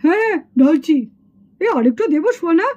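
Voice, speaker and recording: A woman's voice: extremely high pitch, expressive, moderate pace, warm tone. A woman voicing a fretful, chatty young schoolchild doll in a children's puppet cartoon. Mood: eager. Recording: dull lo-fi sound.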